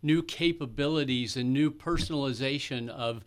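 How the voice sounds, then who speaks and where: A man's voice: medium pitch, normal speed. A man who directs an MBA program, explaining things steadily as a guest in a radio studio interview.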